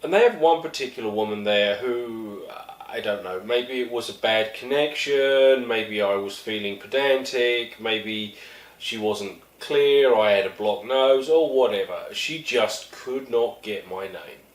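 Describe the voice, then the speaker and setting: A man's voice: on the low side, a little slow. A middle-aged man from East London, with an East London accent, telling wry, self-deprecating stories in a casual monologue. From East London, England. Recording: echoey room, mic at a distance.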